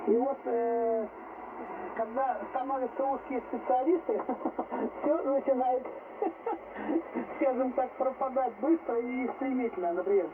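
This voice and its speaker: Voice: high voice, measured pace. A person in casual conversation, heard over shortwave radio. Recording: tinny narrow radio signal, clear static noise.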